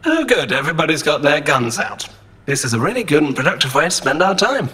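A man's voice: fairly high pitch, sing-song, fast, pushed and bright, slight background noise. An actor playing a precise, pedantic male robot strategist in a science-fiction audio drama, with clipped, analytical and sarcastic delivery.

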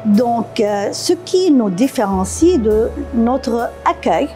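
Casting: woman in her fifties, Lebanese accent, soft mellow tone, interview guest, faint music behind. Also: on the high side, animated, takes it slowly. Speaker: guest, café co-owner. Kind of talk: conversational interview answers. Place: indoor café.

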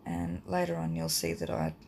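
Croaky woman teaching drawing, rambling. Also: a bass voice, moderate pace, a little hiss or hum. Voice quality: croaky, gravelly. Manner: relaxed and rambling, many ums. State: croaky, hay fever. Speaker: art teacher. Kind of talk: casual drawing tutorial voice-over.

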